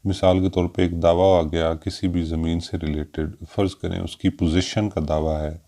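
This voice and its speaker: A man with a Pakistani accent giving an informal explanatory law lesson, hesitant with frequent drawn-out fillers and mixing in English terms. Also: low voice, flat delivery, mellow. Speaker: law lecturer.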